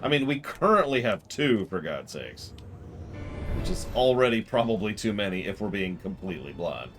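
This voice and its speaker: A man's voice: fairly low pitch, big pitch swings, moderate pace, background noise. A man giving casual, analytical game commentary to a live chat in a blunt, conversational way. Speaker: game streamer. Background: game music.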